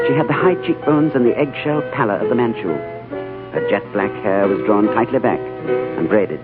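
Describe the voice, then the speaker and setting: An actor playing a composed, shrewd gentleman in an old radio drama, urbane, courteous and polished in speech and narration. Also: fairly low pitch, close to an everyday voice. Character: cultured, composed, shrewd British diplomat narrator. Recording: muffled vintage radio broadcast with music.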